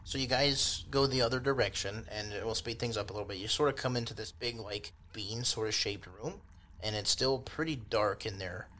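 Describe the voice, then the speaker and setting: Guy dming a tabletop game, lowish bright voice, super casual and rambly descriptions, bit of background noise. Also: normal speed. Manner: conversational, loosely descriptive with many hedging asides. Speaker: game master.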